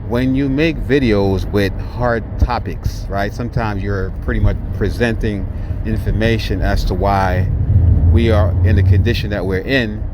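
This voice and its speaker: A man's voice: fairly low pitch, steady tone, measured pace. A man from Jamaica with a Jamaican accent, talking bluntly and emphatically in a preachy vlog, often restarting his sentences. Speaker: vlogger. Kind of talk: casual preachy monologue. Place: inside a moving car. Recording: car noise while driving. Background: road and car noise.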